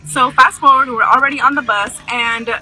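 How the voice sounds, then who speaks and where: Bright, sharp young woman telling stories. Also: high-pitched, steady tone, normal speed, faint music behind, low-quality recording. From United States. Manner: conversational, slangy, emphatic swearing. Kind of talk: casual storytime.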